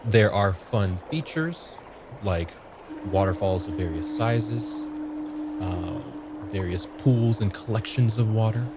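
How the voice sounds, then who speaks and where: A man performs a mischievous river sprite for a tabletop game. The creature draws out its words playfully and savors them, with music clearly behind it. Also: fairly low pitch, lively intonation, slow. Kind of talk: tabletop roleplay in character.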